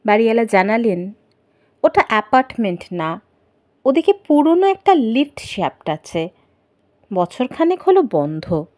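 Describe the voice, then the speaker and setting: A woman narrating a horror story in the first person, theatrical and suspenseful, with drawn-out pauses. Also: on the high side, big pitch swings, darkish tone. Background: background music.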